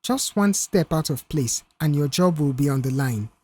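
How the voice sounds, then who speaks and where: A narrator voicing a reserved young male mechanic in an audio story, speaking in a measured, firm and stern way. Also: medium pitch, expressive, dark tone, breathy edge. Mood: wary, warning.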